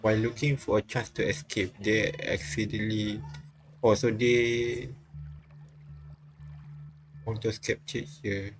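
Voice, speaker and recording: A man streaming a visual novel, reading its dialogue aloud haltingly with casual reactions. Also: fairly low pitch, level tone, noisy background. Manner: halting, repeats phrases as he reads. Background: game music.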